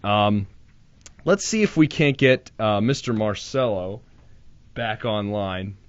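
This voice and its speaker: A man's voice: lowish voice, takes it slowly, no echo. Young guy on his first radio show, bit nervous, keeps restarting, dry muffled studio mic. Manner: nervous, rambling, self-deprecating with restarts. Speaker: radio host. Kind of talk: casual radio chat. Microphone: studio microphone.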